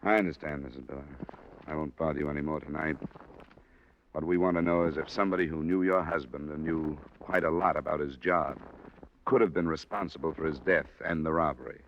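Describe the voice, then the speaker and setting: A man playing a cool, probing insurance investigator who narrates and questions in a measured, matter-of-fact vintage radio detective style. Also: a bass voice, fairly even intonation, a little hiss or hum. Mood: gently probing. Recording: dull vintage radio recording with music.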